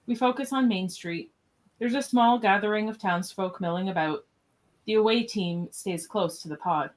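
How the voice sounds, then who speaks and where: A woman's voice: mid-range voice, normal speed. A woman narrator reading scripted stage directions for radio theatre in a matter-of-fact manner.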